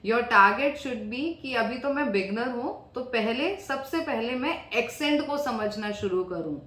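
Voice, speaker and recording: A woman with an Indian English accent coaching students for an exam, switching between English and Hindi, fast in Hindi and slow and deliberate in English. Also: on the high side, expressive, a bit bright. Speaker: IELTS coach. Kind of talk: tutorial to camera. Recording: echoey room.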